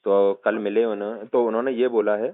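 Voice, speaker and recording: A man's voice: on the low side, steady tone, a little fast, no echo. Man explaining over a muffled phone line. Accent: central Indian Hindi. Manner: persuasive, checks agreement with frequent tag questions. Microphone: phone call. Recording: muffled, tinny phone-call recording.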